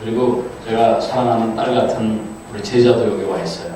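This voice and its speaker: A man's voice: on the low side, brisk, distant mic, background noise. Older man lecturing in an echoey hall. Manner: chatty, self-deprecating, quotes others in playful voices. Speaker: guest lecturer, counseling professor. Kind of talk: casual joking lecture. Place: large auditorium.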